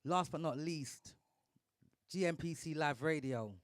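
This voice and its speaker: A man's voice: fairly high pitch, a little slow, no echo, clean studio sound. Upbeat man hosting a live podcast. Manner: upbeat, gives shout-outs, slangy. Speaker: podcast host. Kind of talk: casual live talk show.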